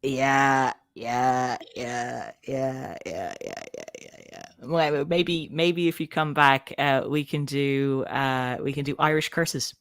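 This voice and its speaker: A woman with a very low voice chats slowly and easily as a guest on a writing stream. Her tone is smooth and clear, and she fills the pauses with soft, agreeing murmurs. The sound is close and dry, with no room around it. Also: no echo. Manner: relaxed, lots of soft agreeing "yeah"s. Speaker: guest writer. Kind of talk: casual chat.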